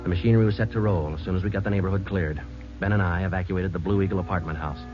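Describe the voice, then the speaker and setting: An actor playing a terse police sergeant who also narrates, in flat, matter-of-fact 1950s radio drama style, on a dull lo-fi old radio broadcast with music. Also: male voice, sits low, very fast, lightly acted. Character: terse male police sergeant, calm and clipped. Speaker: detective and narrator.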